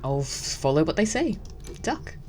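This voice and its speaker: A woman's voice: deep voice, very animated, a little slow, warm tone, slight background noise. A woman hosting a gaming video, casually commenting and reading the story text aloud. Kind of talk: casual game commentary and read-aloud narration.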